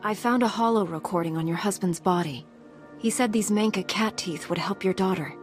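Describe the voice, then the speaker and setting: A woman's voice: medium pitch, a little fast, faint music behind, close to an everyday voice. A woman playing a composed, polite, helpful female knight hero in a video game.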